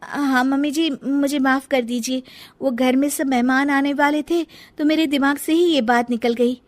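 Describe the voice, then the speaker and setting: A woman's voice: high-pitched, steady tone, moderate pace, pitched above the performer's own voice, natural voice. A woman voicing an anxious, meek young daughter-in-law with a secret in a family story, pleading and deferential. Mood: apologetic. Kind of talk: dramatized Hindi family storybook acting.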